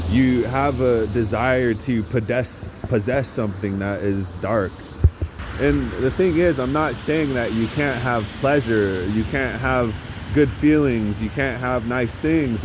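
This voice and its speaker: A man's voice: medium pitch, noisy background. A man giving a blunt, profane monologue, full of sarcastic asides. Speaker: vlogger.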